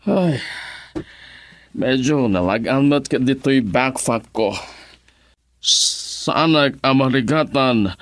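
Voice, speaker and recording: A man's voice: medium pitch, no echo, close to an everyday voice. A man playing a polite, wistful old returnee in a radio drama, with an Ilocano accent and a hesitant, repeating delivery mixed with English. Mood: weary, grateful.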